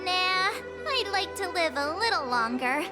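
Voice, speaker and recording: A woman's voice: very high-pitched, animated, a little slow. An actress voicing a chatty, curious teenage girl who sounds bubbly and nosy, from game audio. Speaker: game character. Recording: game audio with music behind.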